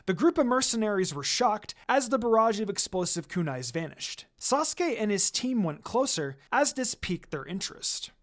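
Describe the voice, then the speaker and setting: A narrator reading fan fiction aloud in a scripted, expressive storytelling style. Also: mid-range voice, moderate pace, few pauses. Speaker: story reader.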